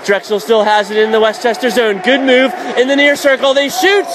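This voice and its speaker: Guy doing radio hockey play by play, high voice, loud noise behind him. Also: fairly even intonation, a crowd in the background. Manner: rapid calls, shouting at big plays. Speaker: play-by-play announcer. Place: college ice arena. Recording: noisy background.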